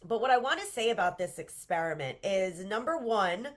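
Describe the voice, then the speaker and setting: A woman's voice: mid-range voice, sing-song, moderate pace. A congested woman with a cold, leading a live-streamed manifestation workshop in an earnest, encouraging way and often restarting her sentences. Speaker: manifestation coach hosting a livestream workshop. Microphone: livestream camera mic.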